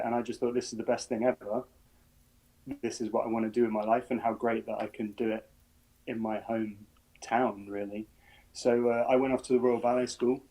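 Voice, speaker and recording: A man's voice: lowish voice, dark tone, poor audio. A British man, a ballet dancer and choreographer, chatting openly about his career with easy laughter. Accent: British English. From England. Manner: friendly, chatty, laughs easily. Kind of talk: casual interview answers.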